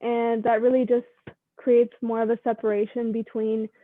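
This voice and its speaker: A woman's voice: on the high side, level tone, moderate pace, no room sound. A young woman from Mexico, speaking earnestly in a casual interview with lots of "um" and "like". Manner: earnest, many fillers like "um" and "like". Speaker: guest. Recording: dry tinny phone-like sound.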